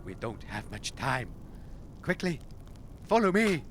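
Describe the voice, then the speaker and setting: An actor playing an eccentric, gallant inventor in an audio comedy drama, grave and theatrical, declaiming dramatically. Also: male voice, medium pitch, very animated, slow pace, background noise. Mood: grave, urgent.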